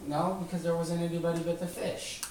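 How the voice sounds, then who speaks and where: A man's voice: on the high side, level tone, quick pace, darkish tone. A man teaching children informally, explaining things simply and prodding them with repeated questions. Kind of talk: casual question-led lesson. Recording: echoey room, distant mic, faint noise.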